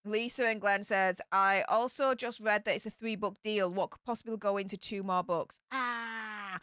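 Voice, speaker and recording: A woman's voice: medium pitch, fairly even intonation, moderate pace, a bit bright, no echo, studio quality. Chatty Yorkshire woman reading chat. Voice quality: broad Yorkshire slang and greetings. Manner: chatty, reads viewer comments aloud and answers them. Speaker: livestream host reading chat. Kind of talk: casual live chat.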